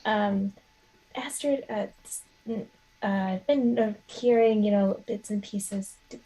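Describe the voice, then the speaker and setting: A woman's voice: medium pitch, fairly even intonation, slow pace, dark tone, slightly rough, rough recording. A woman playing a tabletop roleplaying game, chatting casually and hesitantly as she thinks aloud.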